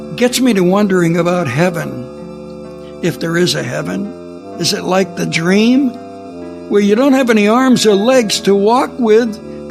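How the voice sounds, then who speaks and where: An elderly man in his seventies shares reflective stories with his listeners. His voice sits high for a man and crackles with fry. Faint music plays behind him. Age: 70s. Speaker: producer and director.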